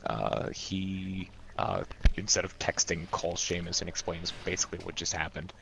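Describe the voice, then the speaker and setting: A man's voice: sits low, lively intonation, moderate pace, gravelly, background noise. A man describing his character's actions in a casual tabletop game, hesitant as he pieces them together. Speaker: role-playing game player. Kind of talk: casual tabletop role-play.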